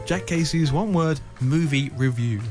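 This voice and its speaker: A man's voice: fairly high pitch, moderate pace. A man recording an informal radio segment. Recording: music bed behind radio clip. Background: music.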